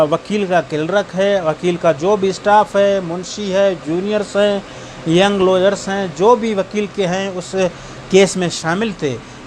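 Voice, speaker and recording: A man with a Pakistani accent lecturing for exam students, reading out statutes haltingly and explaining them with everyday examples. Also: sits high, slight background noise. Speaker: law lecturer.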